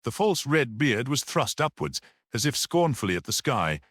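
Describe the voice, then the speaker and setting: A man reading a literary story aloud as a dramatic audiobook narrator, in an expressive, measured manner. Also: medium pitch, dry recording, studio quality.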